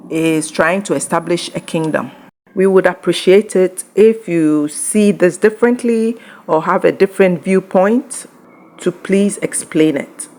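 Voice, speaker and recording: A woman's voice: fairly low pitch, darkish tone. A woman hosting her own channel, carefully translating an interview into English; Ga is not her first language.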